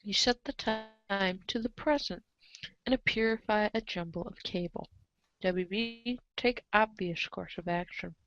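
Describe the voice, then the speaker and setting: A woman's voice: mid-range voice, unhurried, fairly bright tone, no room sound. A woman reading scripted narration of a story in a readers' theater show. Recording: dull lo-fi microphone sound.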